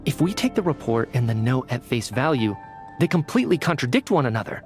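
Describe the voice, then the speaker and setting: An actor playing an earnest, careful young male researcher in a video game, speaking in a deliberate, articulate way as he reasons aloud. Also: medium pitch, big pitch swings, a little mellow, faint music behind, close to an everyday voice. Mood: deliberate reasoning. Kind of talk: anime-style video game cutscene acting.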